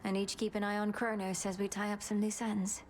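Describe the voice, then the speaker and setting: An actress playing a determined, guarded young Underworld princess in a video game cutscene, earnest, formal and self-possessed. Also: female voice, medium pitch, flat delivery, fairly quick, darkish tone, soft background music, lightly acted.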